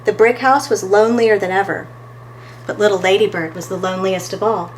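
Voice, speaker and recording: A woman's voice: mid-range voice, steady tone, fairly quick, slight background noise, rough recording. A woman reading a picture book aloud as a storytime host, expressively and talking to her listeners between pages.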